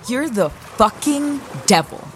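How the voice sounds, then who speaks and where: A woman's voice: fairly high pitch, animated, slow pace, a little hiss or hum. An actress playing a principled young crew coxswain in an audio drama, a woman with a direct, insistent manner. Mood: indignant. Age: young adult.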